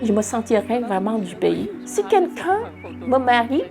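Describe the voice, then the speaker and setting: A woman in her sixties giving a slow, reflective personal testimony. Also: on the high side, sing-song, gravelly. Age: 60s. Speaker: interviewee. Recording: far from the mic with music behind.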